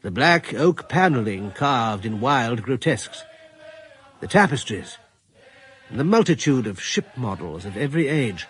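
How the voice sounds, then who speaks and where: A man playing a middle-aged retired general who narrates his adventure in a radio drama, measured and reflective. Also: medium pitch, very animated, a bit bright, slight background noise. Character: Richard Hannay, retired British general narrating.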